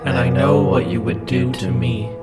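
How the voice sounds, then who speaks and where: A man's voice: medium pitch, animated, over loud music, natural voice. A man voicing a loving, metal-skulled creature that pleads like a solemn parent in a horror audio drama. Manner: solemn, measured, formal, preacherly pleading.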